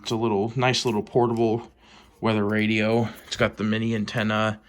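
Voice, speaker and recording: An American man giving a casual show-and-tell as a hobbyist vlogger, with a hesitant, trailing, low-key delivery. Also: monotone, a little fast. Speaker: hobbyist vlogger showing a collection.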